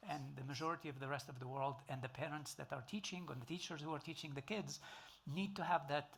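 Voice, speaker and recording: A man's voice: a little high, fairly even intonation, quick pace, creaky, a bit bright, slight background noise. A middle-aged man with a Tunisian accent, a native Arabic speaker, speaking as a panelist with many fillers and restarts. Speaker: panelist, computer engineer. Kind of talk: panel discussion.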